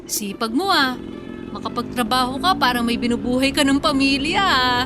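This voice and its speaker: An actress playing a tough, frank female tricycle driver, guarded in love, with brisk plain-spoken banter in a radio drama. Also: high voice, close to an everyday voice. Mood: teasing. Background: music.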